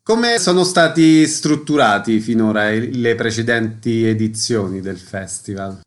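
A man's voice: on the low side, expressive, unhurried. A man hosting a radio program, asking brief formal questions and reading announcements. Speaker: radio host. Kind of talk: radio interview.

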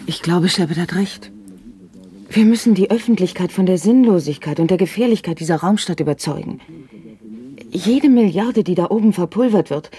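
A woman playing an earnest, high-strung young dweller of a dystopian dome city in a science-fiction radio play. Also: expressive, normal speed, dark tone, close to an everyday voice. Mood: earnest, urgent. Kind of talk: 1970s German radio drama acting.

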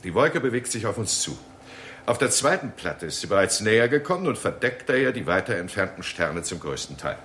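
A keen scientist in a sixties radio play. His low voice swings widely as he explains with polite emphasis, over faint recording noise. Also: very animated, a little fast, a bit bright. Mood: confident, explanatory. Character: keen, courteous male astronomer presenting an alarming discovery. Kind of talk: 1960s German radio drama acting.

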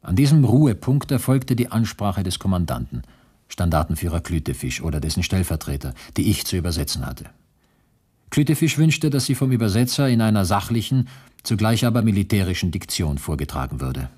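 An actor playing a sober camp survivor who recounts his ordeal in precise, measured first-person narration for a radio drama. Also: low voice, very animated, dark tone, breathy edge, full character voice. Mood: sober, factual.

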